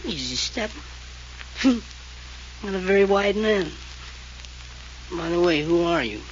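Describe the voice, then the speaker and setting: A well-bred drunk in a 1940s radio mystery. He rambles with mock politeness and amusement, his fairly high voice swinging widely in pitch. A faint layer of old transcription noise sits on the recording. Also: expressive, slow, rough recording. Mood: tipsy, genial. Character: tipsy, flippant, well-bred man of the house.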